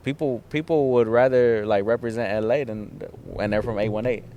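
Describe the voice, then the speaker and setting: A man's voice: medium pitch, fairly even intonation, moderate pace, dull audio, a little hiss or hum. A young man from the San Fernando Valley, a guest musician in a casual interview, talking in a laid-back, slangy way full of "like" and "dog". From San Fernando Valley, California. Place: outdoors in front of a theater.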